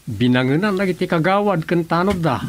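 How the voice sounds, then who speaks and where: A man's voice: sits high, expressive, brisk. A man with an Ilocano accent playing a plain-spoken villager in a radio comedy drama, explaining matter-of-factly. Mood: reporting.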